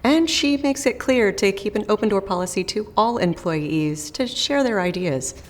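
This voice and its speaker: A woman's voice: medium pitch, lively intonation, normal speed, in a large hall. A woman playing a recruiter in a scripted phone interview, with a friendly, professional manner. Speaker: recruiter in role-play.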